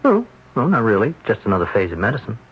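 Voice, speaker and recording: A calm psychiatrist in a 1970s radio drama, low and lively in his phrasing. He speaks in a measured, gently probing way, on a muffled old recording with faint hiss. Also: husky, exaggerated voice. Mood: concerned. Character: calm, thoughtful male hospital psychiatrist. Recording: muffled vintage radio broadcast.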